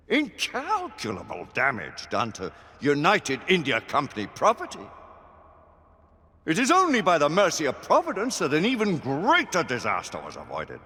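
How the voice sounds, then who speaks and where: A stern, imperious older British statesman in a dramatic game cutscene. His formal oratory is forceful and sweeps widely up and down. Long hall reverb surrounds the voice. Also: on the high side, full character voice. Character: stern, imperious older male Lord Chancellor of knights. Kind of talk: theatrical game cutscene acting.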